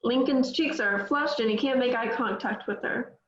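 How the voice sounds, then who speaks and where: A woman's voice: on the high side, moderate pace, a bit bright. A woman reading scripted stage directions as narrator at a table read, in roomy, slightly dull audio.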